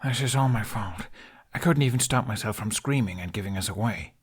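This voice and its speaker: A man's voice: fairly low pitch, fairly quick, breathy edge. Man playing an earnest, flustered teenage boy. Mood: ashamed. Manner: stammering, incredulous.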